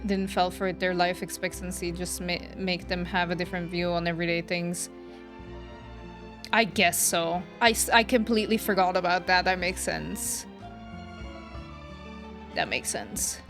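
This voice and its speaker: A woman's voice: fairly low pitch, normal speed, long pauses, a bit bright. A woman streaming a game with casual, chatty, musing reactions, game music playing behind her. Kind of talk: casual live reaction commentary.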